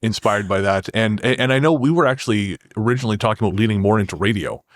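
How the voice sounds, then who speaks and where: A man with a fairly low voice speaks as an interview guest in a dry, close-sounding recording. His delivery is conversational and halting, often doubling back to rephrase. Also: no room sound. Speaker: podcast guest, horror fiction writer.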